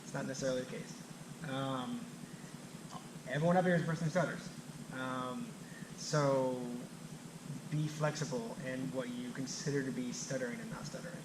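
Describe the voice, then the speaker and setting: Male panel moderator, relaxed and filler-heavy, recorded in a noisy echoey room. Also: medium pitch, normal speed. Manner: relaxed, uses many fillers. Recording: echoey hall with background noise.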